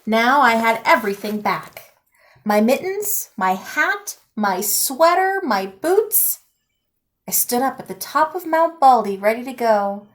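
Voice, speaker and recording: Lady reading a kids story aloud, really slow n deliberate, kinda high voice, expressive. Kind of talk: picture book read-aloud, expressive storytelling.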